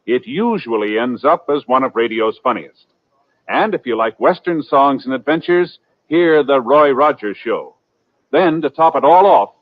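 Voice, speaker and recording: A man's voice: medium pitch, no room sound. A man with an American accent reading scripted announcements as a 1950s network radio announcer. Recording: dull vintage radio recording.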